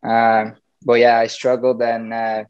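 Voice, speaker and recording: A young Brazilian man, Portuguese his first language, with a northern English edge to his accent. He talks in a flat, even tone, full of loose fillers. The sound is dull and lo-fi, like a laptop on a video call. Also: medium pitch, measured pace, clean tone. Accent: Brazilian with a northern English tinge. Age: 20s. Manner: loose and chatty, many fillers like "like" and "you know". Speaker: footballer, interview guest. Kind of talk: casual interview over video call. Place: small room at home, plain wall. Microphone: laptop webcam video call.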